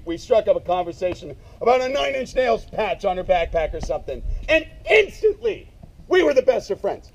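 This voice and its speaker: A man speaking loudly and emphatically in a casual way, giving a eulogy at a memorial gathering. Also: high-pitched. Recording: dull lo-fi sound, faint noise.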